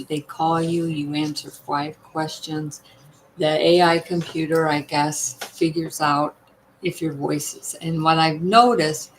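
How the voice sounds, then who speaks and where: Older lady talking low, voice kinda worn, chatty, faint hiss behind. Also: poor audio. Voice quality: voice partly worn, weak. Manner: chatty, emphatic, asks "you know what?". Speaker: vlogger sharing health tips. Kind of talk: casual talk to viewers.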